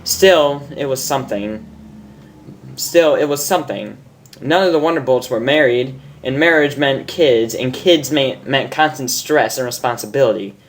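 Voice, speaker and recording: Male amateur story reader, medium pitch, informal but dramatic, audibly amused, trips over words. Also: normal speed, slight background noise. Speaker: fan fiction reader.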